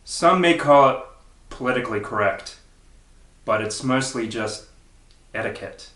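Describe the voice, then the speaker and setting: A man's voice: mid-range voice, lively intonation, unhurried, fairly bright tone. A man reading poetry aloud with a wry, emphatic delivery. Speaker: poetry reader. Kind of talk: poetry recital. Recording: roomy, echoing recitation.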